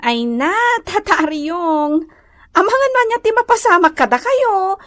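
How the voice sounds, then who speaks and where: A woman's voice: very high voice, lively intonation, measured pace, fairly bright tone, no room sound, clean studio sound, exaggerated voice. A woman acting a sweet, playful young daughter in a comedic radio drama, with an Ilocano accent. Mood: coaxing, playful.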